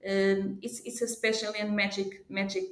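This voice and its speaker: A woman's voice: medium pitch, little pitch movement, normal speed. A woman with a Portuguese accent, answering as an interview guest with careful phrasing, searching for words in a second language. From Portugal, born in Africa. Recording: echoey room sound.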